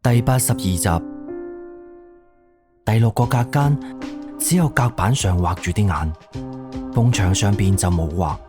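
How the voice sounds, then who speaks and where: A fairly low male voice narrates a suspense story over clear background music. He speaks in a scripted radio-drama storytelling style. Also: unhurried, long pauses.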